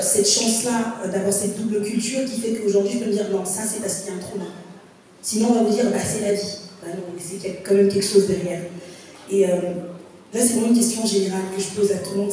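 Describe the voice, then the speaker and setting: A woman's voice: medium pitch, warm tone, a little hiss or hum. A woman in her thirties, from Congo, speaking at a public panel in an earnest, reflective way with many fillers and restarts. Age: 30s. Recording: echoey hall, voice from far off.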